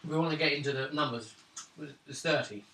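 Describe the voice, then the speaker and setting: A man's voice: a little high, a little fast, gravelly, background noise. A man running a tabletop game as game master, narrating and explaining the rules. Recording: roomy room, mic far off.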